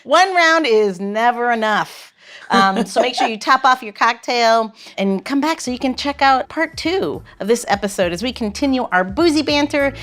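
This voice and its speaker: A woman hosts a podcast with casual, conversational delivery. Her voice is a little higher than average. She guides the talk along like a show regular. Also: animated, moderate pace, fairly bright tone. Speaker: podcast host. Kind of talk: casual hosting chat.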